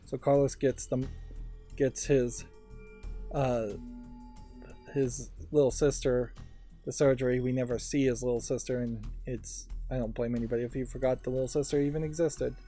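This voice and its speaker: A man doing casual gameplay commentary and reading aloud, halting as he thinks out loud. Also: medium pitch, flat delivery. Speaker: let's play host. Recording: quiet game music behind. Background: game music.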